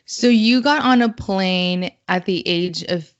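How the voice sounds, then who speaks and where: A woman's voice: on the low side, slow pace, muffled recording. A woman hosting a podcast interview, speaking with enthusiastic, effusive praise. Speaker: podcast host.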